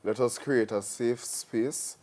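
A young man explaining things in a measured, somewhat hesitant way as an interview guest. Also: medium pitch, fairly even intonation, darkish tone. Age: young adult. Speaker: student leader, interview guest. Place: television studio. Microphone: lapel.

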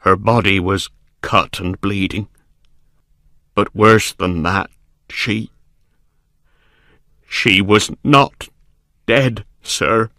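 A man's voice: on the low side, drawn-out words, long pauses, pushed and bright, no echo, studio quality, heavily acted. A man voicing a terrified male servant, shaken and urgent, in a gothic story reading. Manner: shaking, urgent, deferential.

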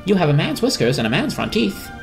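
A woman's voice: on the low side, very animated, quick pace, vocal fry, soft background music, pitched above the performer's own voice. A woman voicing a suspicious, pompous male squirrel in a storybook, with a stuffy, complacent manner. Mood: complacent, insistent. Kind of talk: dramatic children's storybook reading.

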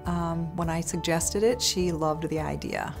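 American woman, 40s, slightly low voice, professional testimonial with music behind. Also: fairly even intonation, measured pace, vocal fry. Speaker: employment specialist. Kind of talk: interview testimonial. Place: indoor office.

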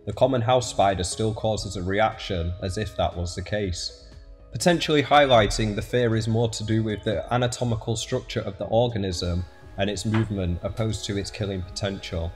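A man's voice: on the low side, normal speed. A man reading scripted narration for an educational documentary. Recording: voice-over with faint music behind.

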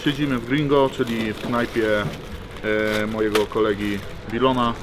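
A man chats casually with friends in a vlog. His voice sits at a middle pitch, and there is clear noise around him from the location. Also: moderate pace. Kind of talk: casual chat. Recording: noisy on-location audio, street ambience.

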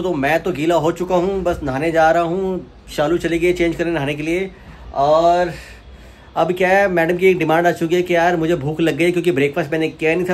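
Chatty young man vlogging with sore throat. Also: fairly high pitch. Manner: chatty, addresses viewers directly, mixes English words in. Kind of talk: casual vlog chat to viewers. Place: home and hotel, indoors.

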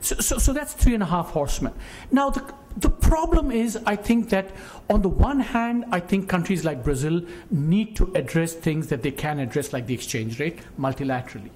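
A man's voice: high-pitched, lively intonation, moderate pace, darkish tone. A middle-aged man giving emphatic panel remarks, often repeating words as he starts a phrase. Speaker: panelist, economist. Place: indoor conference panel stage. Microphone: gooseneck table microphone. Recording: clearly noisy conference room recording.

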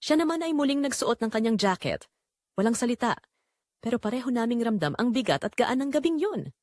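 A woman's voice: on the high side, very animated, a little fast, a little mellow, no echo, studio quality. A woman with a Filipino accent playing a tender, long-neglected middle-aged wife and mother, narrating her own romantic audio drama in an introspective, confessional way. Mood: reflective, moved.

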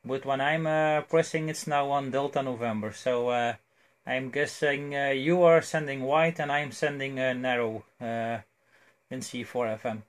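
A man talking as an amateur radio operator in an unscripted exchange, with a hesitant, halting delivery. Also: mid-range voice, fairly even intonation. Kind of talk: unscripted ham radio exchange with spelled call signs.

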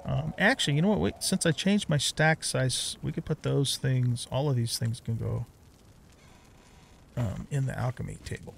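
A man's voice: mid-range voice, moderate pace, gravelly, slight background noise. A middle-aged man giving casual let's play commentary on a video game, thinking aloud and muttering to himself.

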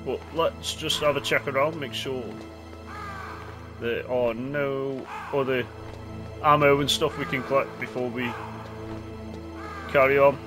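A man hosting a gaming channel, chatting and exclaiming as he comments on his gameplay. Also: mid-range voice. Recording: game music behind voice.

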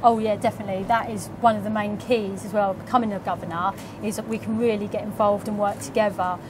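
A woman's voice: medium pitch, fairly even intonation, fast talker. A woman in her forties, a retail manager, talking in a friendly, chatty way with frequent ums during an on-site interview in a shop. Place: convenience store, indoors. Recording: noisy shop background. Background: shop ambience.

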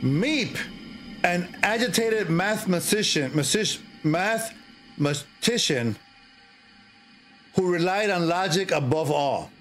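Slow-talking middle-aged man over music. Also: sits high, expressive, fairly bright tone. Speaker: livestream host and storyteller. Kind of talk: casual livestream chat and horror story reading. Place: indoor streaming setup.

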